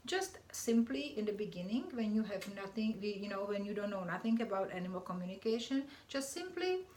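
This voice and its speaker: A woman from the Czech Republic, a guest on a talk show, answering in an earnest, halting way and restarting her phrases. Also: mid-range voice, animated, a little mellow. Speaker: guest, animal communicator. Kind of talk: interview answers. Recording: roomy echo with faint noise.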